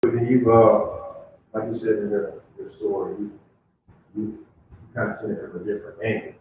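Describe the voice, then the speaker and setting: A lowish-voiced man moderates a live event, phrasing things haltingly. He sounds distant in a strongly reverberant room, with faint noise in the recording. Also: fairly even intonation, darkish tone, dull audio. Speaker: host moderating a live panel. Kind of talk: live event moderation, spoken questions to panelists. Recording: very echoey hall, far from mic, faint noise.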